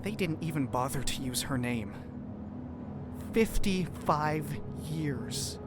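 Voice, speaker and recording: An actor playing an earnest, compassionate doctor in a science-fiction audio drama. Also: male voice, fairly high pitch, expressive, slow, over music. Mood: somber, reflective. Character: earnest grieving male doctor, cautious and compassionate sci-fi traveller.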